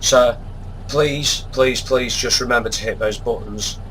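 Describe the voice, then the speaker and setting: A man's voice: mid-range voice, rough recording. A man with a congested voice and a sore throat, giving easygoing, laid-back commentary while he plays a game. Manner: unhurried, easygoing, appreciative. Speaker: gaming channel host. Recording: steady truck and air-conditioning hum behind. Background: game engine and cab noise.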